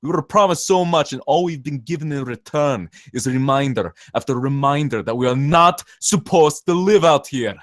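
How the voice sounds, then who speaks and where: A man's voice: on the high side, normal speed, hardly any pauses, dry recording, clean studio sound, lightly acted. Man acting a brash, cynical zealot saboteur. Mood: bitter, impassioned. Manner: profane, emphatic, drawling slangy rant. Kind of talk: screenplay table read, long monologue.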